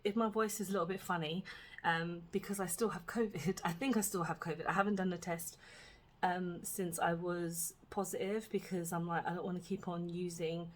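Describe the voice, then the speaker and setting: Chatty British woman, slightly husky. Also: fairly low pitch, fairly even intonation, normal speed. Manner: chatty, rambling, with many "um"s and "like"s. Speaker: beauty vlogger. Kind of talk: casual makeup tutorial chat. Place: at home.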